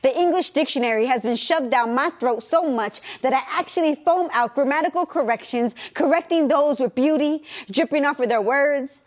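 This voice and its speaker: A young woman recites a spoken word poem with a rhythmic, measured cadence, moving between English and Spanish. Her voice sits high. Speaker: performance poet. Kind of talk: spoken word poetry performance.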